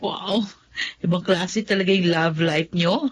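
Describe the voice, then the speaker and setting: A playful young woman who ribs her old friend, as a man puts her on for a radio drama. Her voice sits in a middle pitch and sounds light and mocking. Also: measured pace, breathy edge. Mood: teasing. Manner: playful, teasing.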